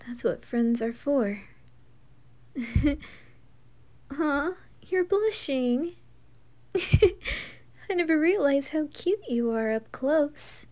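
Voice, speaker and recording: Kind young anime boy, female performer: high voice, slow soft delivery, reassuring and sincere. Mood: tender, reassuring. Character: gentle, kind-hearted, fearless young boy adventurer. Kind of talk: anime fan roleplay ASMR.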